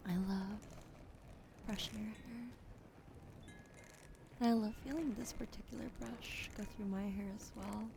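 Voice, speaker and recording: Gravelly whispering woman, gentle ASMR. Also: mid-range voice, fairly even intonation, measured pace, pauses often, background noise. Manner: soft, gentle, chatty with viewers. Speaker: ASMR streamer. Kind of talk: ASMR roleplay with chat.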